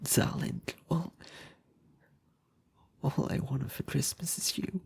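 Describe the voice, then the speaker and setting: Obsessive lovesick male kidnapper, played by a man for ASMR roleplay: very slow, gravelly, intimate, doting yet threatening. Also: a little high, a little mellow, full character voice. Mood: dying, lovesick. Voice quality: husky, breathy, often whispering.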